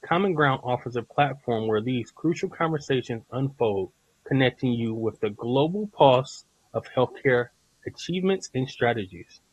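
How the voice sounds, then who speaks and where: A man hosting a healthcare podcast, reading a smooth scripted intro and then chatting casually, with some stumbles and repeated words. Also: medium pitch, expressive, normal speed, muffled recording. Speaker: podcast host, nurse.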